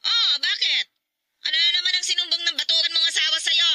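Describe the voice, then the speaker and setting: Mapanghamak na ina, napakatalas ng boses. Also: female voice, very high voice, fairly even intonation, fast talker, no room sound, heavily acted. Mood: scornful, aggrieved. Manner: sharp, sarcastic rhetorical questioning. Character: scornful, bitter mother-in-law, quarrelsome and disapproving. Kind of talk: Tagalog radio drama acting.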